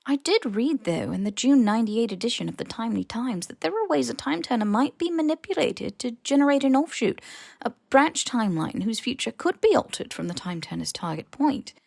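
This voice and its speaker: Woman putting on an eager, high-pitched bookish woman. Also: lively intonation, a little fast, creaky, a little mellow, dry recording. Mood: eager explaining. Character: eager, bookish, indignant adult woman official.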